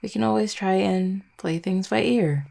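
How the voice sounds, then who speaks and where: A woman playing an affectionate, gently teasing vampire girlfriend in an intimate roleplay audio, speaking tenderly and without hurry. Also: medium pitch, moderate pace, creaky. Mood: relaxed, affectionate. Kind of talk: intimate roleplay audio, soft conversational acting.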